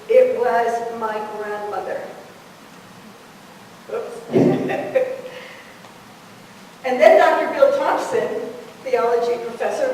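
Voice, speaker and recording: A woman's voice: high voice, a little hiss or hum. An older woman giving an earnest, reflective spiritual talk to a congregation. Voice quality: fairly loud voice. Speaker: guest speaker. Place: church hall. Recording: echoey hall from far off.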